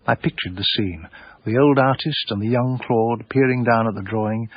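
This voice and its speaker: An actor playing a genteel, melancholy middle-aged English art dealer in a radio drama, literate and precise in manner. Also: male voice, on the low side, husky, no echo, dull audio. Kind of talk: radio drama narration and dialogue.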